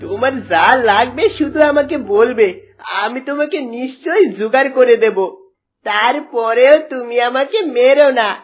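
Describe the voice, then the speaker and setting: A woman's voice: high voice, normal speed, faint music behind. A woman voicing a greedy, blustering husband who turns cowardly and whiny in a children's cartoon. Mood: frightened, pleading.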